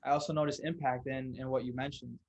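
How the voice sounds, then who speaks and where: A man's voice: medium pitch, fairly even intonation, fast talker, darkish tone. A man hosting an interview podcast in an earnest, slangy way. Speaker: podcast host.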